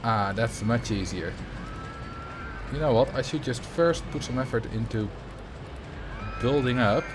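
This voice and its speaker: A man's voice: mid-range voice, measured pace, background noise. A man from the Netherlands with a Dutch accent, casually chatting with viewers and thinking aloud while he plays a building game on a livestream. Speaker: streamer.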